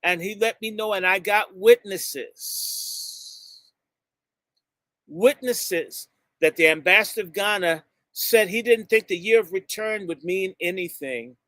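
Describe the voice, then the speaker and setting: Brief: high-voiced, sharp-toned American man, fiery and insulting, working a live crowd. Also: slow pace, no echo. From United States. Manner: fiery and emphatic, drawing out key words; blunt and insulting, jumps between topics. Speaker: speaker addressing a gathering. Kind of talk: impassioned speech to a live audience.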